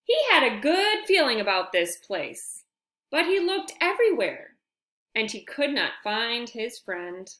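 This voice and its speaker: A woman reads a children's storybook aloud in an expressive, deliberate way. Her pitch swings widely and her pace is unhurried, with friendly asides to young listeners. The room adds a noticeable echo.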